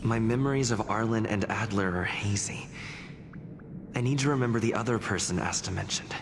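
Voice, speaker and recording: A man's voice: fairly low pitch, a little fast, noisy background, natural voice. An actor playing a forgetful male investigator in a video game, pensively talking to himself. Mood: puzzled, pensive. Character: forgetful young male investigator puzzling things out. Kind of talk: acted video game dialogue. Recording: music behind the voice.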